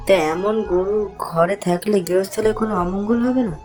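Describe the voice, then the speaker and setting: A woman's voice: mid-range voice, moderate pace, darkish tone, close to an everyday voice. Woman playing a nagging village wife. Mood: fussing. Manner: scolding, fussy, exclaiming. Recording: music behind the voice.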